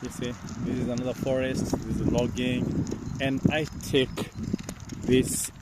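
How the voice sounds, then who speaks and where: Kenyan man vlogging over loud outdoor noise. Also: mid-range voice, level tone. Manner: halting, repeats phrases. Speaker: vlogger, host. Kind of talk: casual outdoor vlog talk. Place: outdoors on a bicycle along village paths. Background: loud outdoor ambience.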